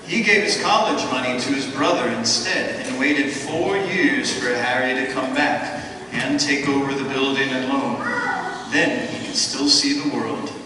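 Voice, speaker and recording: A man's voice: mid-range voice, measured pace. A man narrating a staged radio play and quizzing children in the audience, friendly and encouraging. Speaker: narrator and host. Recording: echoey hall, mic far off, noisy.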